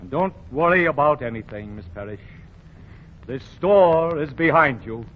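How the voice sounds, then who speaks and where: An actor playing an indignant, self-righteous department store boss who lectures emphatically in a 1940s radio comedy. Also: male voice, on the high side, expressive, moderate pace, a little hiss or hum, lightly acted. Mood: softened, sympathetic. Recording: dull lo-fi 1940 radio recording.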